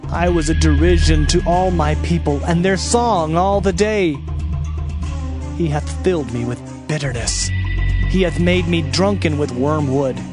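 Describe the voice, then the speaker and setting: A man narrating scripture in a solemn, measured, dramatized reading. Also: a little high. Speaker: Bible narrator. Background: background music.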